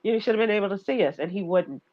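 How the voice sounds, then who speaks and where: A woman's voice: medium pitch, animated, fast, highs cut off, noisy background. A woman radio host telling travel stories in casual chat, laughing and acting out voices as she goes. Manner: chatty, laughing, acts out voices in her stories.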